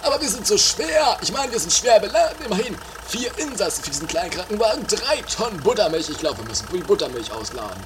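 A dry, plodding man at the wheel as an actor puts him on, pitched far lower and a bit dim. He is a radio-comedy figure heard through clear tape noise. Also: very animated, fast talker, hardly any pauses, close to an everyday voice. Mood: matter-of-fact. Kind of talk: improvised trashy comedy radio play.

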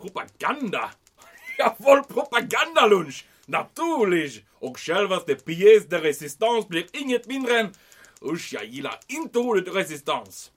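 A pompous German commandant in a comic radio play. His voice sits high and swings wildly in pitch. He is bombastic and excitable, barking exclamations and dropping German words. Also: breathy edge, full character voice. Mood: gleeful, boastful. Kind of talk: comedic audio drama acting.

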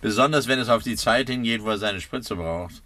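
Silky, menacing villain doctor. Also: male voice, fairly low pitch, brisk, sharp tone. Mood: smugly threatening. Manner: mock-friendly, silky, sardonic. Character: villainous nerve doctor, smooth, mocking, coldly menacing. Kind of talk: 1960s German radio thriller acting.

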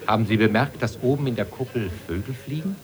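An actor playing a self-satisfied coat thief in a literary radio play, declaiming deliberately in lists and repetitions. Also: male voice, mid-range voice, a crowd in the background, natural voice. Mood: self-assured, savouring. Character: self-satisfied man confessing coat theft, poetic and enumerating.

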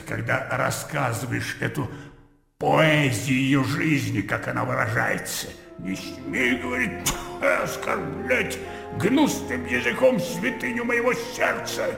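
Garrulous old army colonel, sardonic and very gravelly, swinging widely in pitch, radio play. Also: male voice, heavily acted. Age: older. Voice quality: gravelly, booming. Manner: blustery, rambling, punctuated with interjections. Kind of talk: theatrical radio drama acting. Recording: echoey hall with long reverb, music behind.

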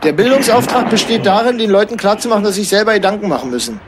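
A man's voice: high-pitched, racing, noisy background. A man chatting casually and argumentatively, needling the people he talks with. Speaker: radio show participant. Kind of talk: casual bantering conversation.

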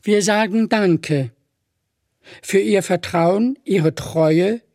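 A woman giving a formal, deliberate scripted dramatic reading. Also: mid-range voice, dark tone, no room sound, clean studio sound.

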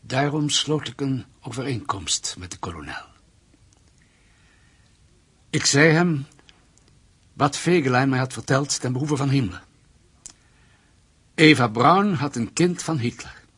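A man acting in a radio play as an anxious captive ex-Nazi, guarded and deliberate as he pleads and confesses. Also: medium pitch, animated, a bit bright, slightly rough, exaggerated voice. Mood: grave confession.

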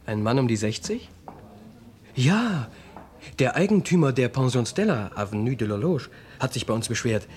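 An actor playing a dutiful, matter-of-fact police inspector in a radio crime drama, official and obliging. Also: male voice, mid-range voice, very animated, moderate pace, darkish tone, natural voice. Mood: businesslike.

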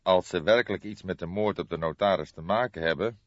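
Man voicing an older male police detective, audiobook character, low pitch, calm, measured, soft-spoken, shrewd, dry recording. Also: no echo, lo-fi sound, close to an everyday voice. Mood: thoughtful.

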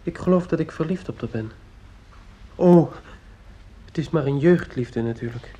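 An actor in a Dutch radio play playing an earnest, lovesick teenage schoolboy, polite and hesitant. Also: male voice, fairly high pitch, moderate pace, warm tone, slightly rough. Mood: lovesick, insistent.

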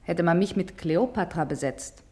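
A woman's voice: lowish voice, normal speed, a little mellow, natural voice. A woman playing a proud, disdainful stage actress in a German radio play, speaking in a dry, haughty way. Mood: reproachful, proud.

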